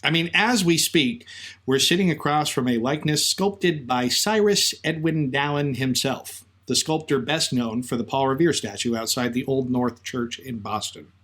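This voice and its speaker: A man's voice: mid-range voice, moderate pace, a bit bright. A man co-hosting a podcast in a conversational, semi-scripted storytelling style. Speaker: podcast co-host.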